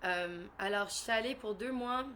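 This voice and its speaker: A woman's voice: medium pitch, moderate pace, bright, forceful tone, a little hiss or hum. A woman with a Canadian French accent, a singer-songwriter answering interview questions casually, hesitating often and slipping English words into her French. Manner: hesitant, many fillers and restarts.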